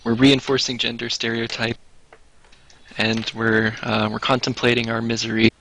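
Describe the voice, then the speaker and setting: Guy w gravelly bright voice, sarcastic podcast banter, bit of noise and clicking behind him. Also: mid-range voice, flat delivery, moderate pace, rough recording. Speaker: podcast co-host. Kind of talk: casual group chat. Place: voice chat for a live online show.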